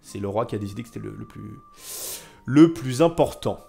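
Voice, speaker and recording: A man's voice: fairly low pitch, animated, a little fast, creaky, warm tone, slightly rough, soft background music. A man livestreaming history stories to his viewers in a casual, theatrical way, repeating phrases for suspense and emphasis. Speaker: live streamer telling history stories to chat. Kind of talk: casual animated storytelling to viewers.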